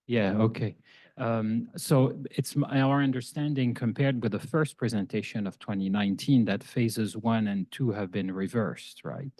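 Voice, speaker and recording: Local guy at the mic, low voice, dry sound, hesitant and worried questions. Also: moderate pace, darkish tone, no room sound. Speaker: community member asking questions. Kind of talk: public meeting question. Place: meeting room.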